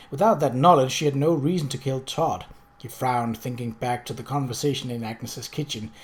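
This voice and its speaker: A man narrates a story as an audiobook reader. His delivery is expressive and dramatic, set at an ordinary male pitch. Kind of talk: scripted narration of a novel.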